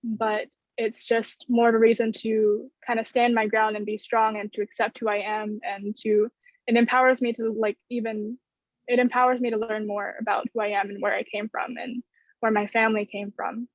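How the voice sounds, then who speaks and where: A woman's voice: a little high, little pitch movement, moderate pace, no echo. A young woman from the United States giving a reflective personal answer as a student panelist on a video call. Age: young adult. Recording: dull, lo-fi video call audio.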